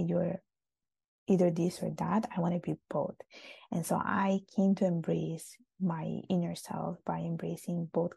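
A woman's voice: lowish voice, vocal fry, mellow, husky, no echo. A young adult woman from Italy, answering interview questions in a reflective, casual way with frequent restarts. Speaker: guest.

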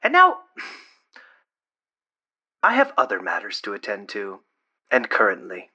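A man plays a scheming, self-important doctor in a supernatural audio drama. The voice is bright and tense, swooping widely in pitch, with slow formal phrasing that falters into hedging. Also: huge pitch swings, exaggerated voice. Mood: haughty dismissal. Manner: formal, pompous, hesitant when questioned. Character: evasive, pompous doctor with secret schemes. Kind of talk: audio drama villain acting.

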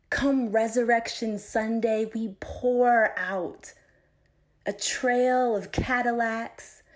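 A woman recites poetry at a very unhurried pace, in a mid-pitched voice. She sets out each phrase deliberately, with pauses between them, as a poet reading her own work. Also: a little mellow.

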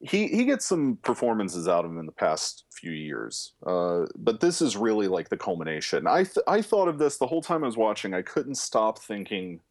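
Casting: man, lowish voice, relaxed profane podcast film talk. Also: normal speed, few pauses. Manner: loose and profane, lots of fillers. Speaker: podcast co-host, film reviewer. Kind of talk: casual chat.

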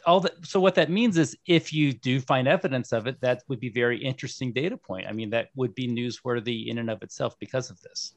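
Measured man explaining on a podcast. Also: medium pitch, no room sound. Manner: measured, informative, some fillers.

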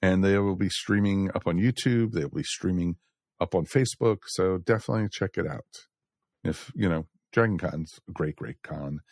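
A man's voice: low-pitched, moderate pace, a little mellow, no room sound, clean studio sound. A man hosting a podcast, chatting casually and addressing listeners in a folksy way. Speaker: podcast host.

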